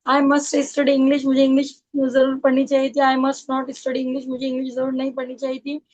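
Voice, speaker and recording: A woman student reciting grammar drills, slow in English and rushed in Hindi. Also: high-pitched, completely flat delivery, darkish tone, lo-fi sound.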